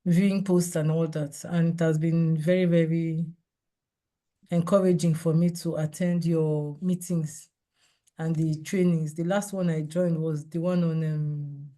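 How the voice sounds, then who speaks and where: A hoarse woman with a cold gives a measured, explanatory seminar over a video call. Her voice is low and mellow, and she pauses to clear her throat. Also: level tone. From Nigeria. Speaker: seminar speaker. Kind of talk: online health talk with slides.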